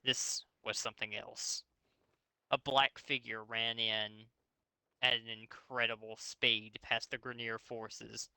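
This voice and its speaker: A man reads fan fiction aloud as host and pokes fun at it. His voice is very bright and forceful, with casual swearing, and the recording is dry and close. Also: mid-range voice, slow, gravelly, smooth, no echo, low-quality recording. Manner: animated, sweary, mocking. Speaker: host reading a story aloud. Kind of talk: fanfiction narration with comic, casual commentary.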